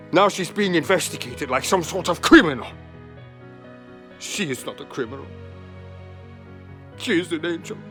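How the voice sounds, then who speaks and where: A man's voice: sits high, a bit bright, exaggerated voice. A man acting as a grieving, overly devoted animal keeper, earnest and melodramatic in a comedy sketch. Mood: quiet indignation.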